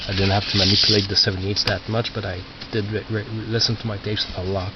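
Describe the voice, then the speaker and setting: A man who is not a native English speaker, casually talking through his collection as a record collector, halting and muttering as he reads out labels, on a cheap video camera microphone. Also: fairly low pitch, level tone. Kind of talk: casual narrated show-and-tell of collection. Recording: muffled cheap video camera, loud noise.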